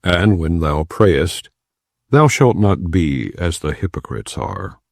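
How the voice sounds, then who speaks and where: Deep, solemn male scripture reader. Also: very animated, takes it slowly, gravelly, no echo, clean studio sound. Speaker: Bible reader. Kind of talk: scripted scripture reading, non-dramatized.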